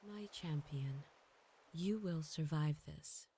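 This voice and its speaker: Low, mellow female guardian angel. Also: a little hiss or hum. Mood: soothing. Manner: solemn, measured. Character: solemn female guardian angel, calm and commanding. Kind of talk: audio drama acting.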